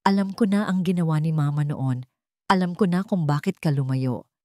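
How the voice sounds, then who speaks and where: A woman's voice: deep voice, moderate pace, warm tone, no echo, lightly acted. Casting: female narrator as anguished young male CEO, pleading and remorseful, Tagalog radio drama, dry close recording. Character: remorseful wealthy young CEO father, begging forgiveness.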